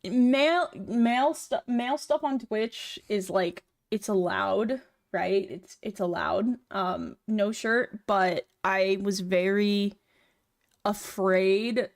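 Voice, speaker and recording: A young woman talking to her stream in a loose, rambling way, full of "like" and "um." Her feminine voice sits at an ordinary pitch for a woman. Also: takes it slowly, creaky. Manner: rambling, filler-heavy, chatty. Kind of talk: casual chat while working on a model.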